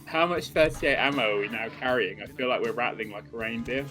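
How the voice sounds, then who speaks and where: A man's voice: medium pitch, a little fast, sharp tone, faint music behind. A man co-hosting a casual gaming playthrough, bantering with wry, mocking asides. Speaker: gaming show co-host.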